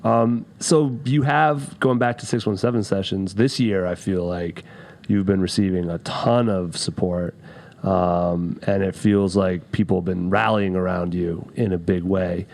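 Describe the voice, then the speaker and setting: A man's voice: low voice, fairly even intonation, a little slow, a little mellow. A man hosting a podcast interview, asking curious, rambling questions in an easygoing way. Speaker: podcast host.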